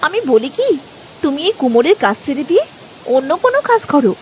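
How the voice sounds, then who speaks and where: A woman playing a nagging, sharp-tongued village potter's wife in a moral-story cartoon, scolding and complaining. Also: high voice, big pitch swings, quick pace, a little hiss or hum. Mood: fed up.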